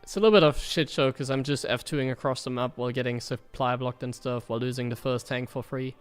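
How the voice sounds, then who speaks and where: Young German-accented man, native German speaker, streamer, smooth mid-pitched voice, rambling and self-critical, faint music behind. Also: steady tone, fast. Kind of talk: casual commentary on his own gameplay.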